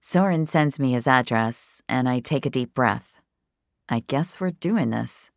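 A woman playing a calm, predatory serial killer, narrating horror audio fiction in the first person with deliberate, intimate menace. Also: rumbling low, creaky, dry recording, clean studio sound, heavily acted. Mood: wry anticipation.

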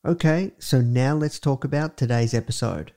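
An Australian man hosts a podcast in a croaky, hoarse voice of ordinary pitch. He talks fast and upbeat, in a casual way. His sentences are full of "uh" and "you know". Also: a little mellow. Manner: upbeat, fast, filler-heavy with many "uh"s. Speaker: podcast host. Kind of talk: casual podcast intro monologue.

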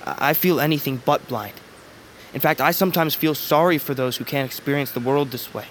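A man plays a blind young tribesman in an audio drama who is calm, wise and playful, speaking in a measured way with a slightly raised voice. Clear noise hums behind him. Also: a little high, lightly acted. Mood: earnest. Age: young adult. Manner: measured and gently teasing.

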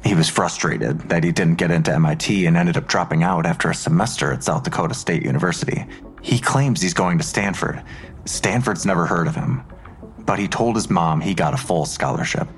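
A man's voice: low-pitched, fairly quick. An actor playing a matter-of-fact male investigator methodically laying out a case in an audio drama.

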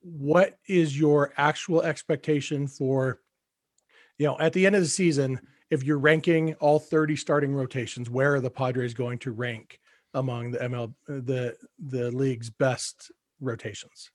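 A man co-hosting a podcast in casual chat, hesitant and measured, often restarting his sentences. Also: mid-range voice, steady tone, dry recording, clean studio sound. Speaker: podcast co-host.

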